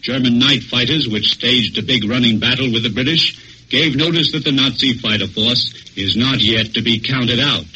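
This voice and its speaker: American guy doing sober 1940s war report on radio, formal, sharp voice, bit of old noise. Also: mid-range voice, moderate pace, low-quality recording. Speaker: military news commentator.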